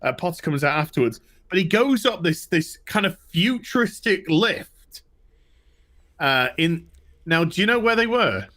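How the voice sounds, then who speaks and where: A man's voice: on the high side, big pitch swings, unhurried, pushed and bright. A British man co-hosting a TV review show, telling things in a casual, rambling way. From United Kingdom. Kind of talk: casual recap chat.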